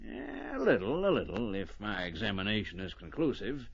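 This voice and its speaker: An actor playing a fussy, morbidly keen frontier doctor in an old radio western, muttering and repeating himself pedantically. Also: male voice, fairly low pitch, big pitch swings, moderate pace, vocal fry, husky, full character voice. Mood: musing, pedantic. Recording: dull old radio recording.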